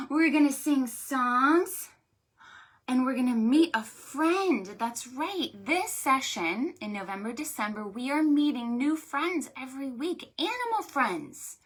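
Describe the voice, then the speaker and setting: A woman hosting a preschool storytime, speaking to small children in an animated, sing-song, exaggerated way. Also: high voice, slow, breathy edge. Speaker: children's librarian, storytime host.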